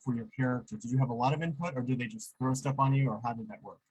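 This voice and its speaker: A man's voice: mid-range voice, very fast, a little hiss or hum, low-quality recording. A man hosting an interview over a video call, asking questions hesitantly and restarting them often. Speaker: host.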